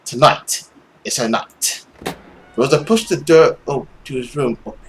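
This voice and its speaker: Young male gaming narrator, dramatic suspenseful read, hushed at times, faintly noisy home recording. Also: mid-range voice, unhurried. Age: young adult. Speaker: gaming YouTuber reading a visual novel aloud.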